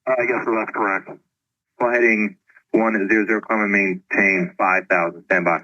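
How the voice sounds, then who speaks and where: A dull, band-limited aviation radio transmission carries a man's voice. He sounds tense and sharp, with clipped procedural phrasing and no room echo. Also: lowish voice, flat delivery, moderate pace. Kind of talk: air traffic radio communication.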